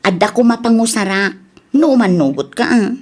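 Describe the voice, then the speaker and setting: A woman with a Filipino accent playing a sharp-tongued village wife and daughter in a comedy radio drama. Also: mid-range voice, animated, moderate pace, close to an everyday voice. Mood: conciliatory.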